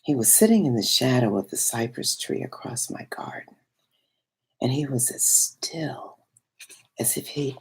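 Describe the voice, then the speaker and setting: A woman voicing a wistful, awestruck woman of biblical times in a dramatic literary monologue, with hushed, reverent phrasing and a breathy, husky voice that often drops toward a whisper. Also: rumbling low, exaggerated voice. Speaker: reader.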